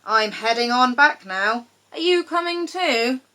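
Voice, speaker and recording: Lady doing a friendly croc from a kids book, kinda lonely, coaxing, high pitched. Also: expressive, a little slow, bright, forceful tone. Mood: soothing. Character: grinning crocodile, friendly, lonely, gently teasing.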